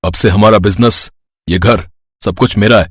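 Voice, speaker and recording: A man's voice: lowish voice, steady tone, normal speed, slightly rough, no echo, clean studio sound. A man playing a greedy, domineering elder brother in a storybook tale, curt and taunting. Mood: gloating, harsh.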